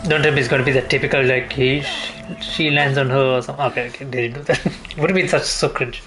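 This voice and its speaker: A man's voice: medium pitch, steady tone, fast talker, low-quality recording. A man giving casual gameplay commentary, rambling as he thinks aloud. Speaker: video game streamer. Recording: faint game noise behind the voice. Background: game ambience.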